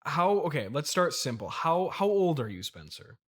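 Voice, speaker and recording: A man playing an awkward middle-aged father and podcast host in an improvised comedy interview, halting and placating. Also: fairly high pitch, big pitch swings, fairly bright tone, slightly rough, full character voice. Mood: earnest, flustered.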